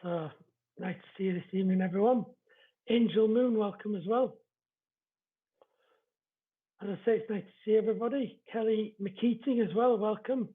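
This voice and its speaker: High-voiced Yorkshire man, welcoming and chatty. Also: normal speed, long pauses. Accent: East Yorkshire. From East Yorkshire, England. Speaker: spiritual medium hosting a live show. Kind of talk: casual livestream chat greeting viewers. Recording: dull, lo-fi livestream audio.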